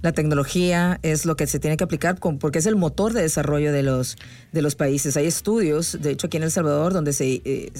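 A woman's voice: deep voice, brisk, mellow, no echo. A woman hosting a conversational podcast interview. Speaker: podcast host.

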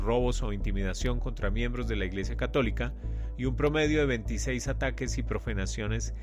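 A man reading a scripted news report in a formal, measured way, as a newsreader. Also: fairly low pitch. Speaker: news reader.

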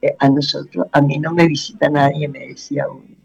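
Gravelly fervent radio host man. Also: fairly high pitch, little pitch movement, fairly quick, a little mellow, highs cut off, faint music behind. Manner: fervent, repeats blessings and phrases. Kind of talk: devotional radio talk and prayer.

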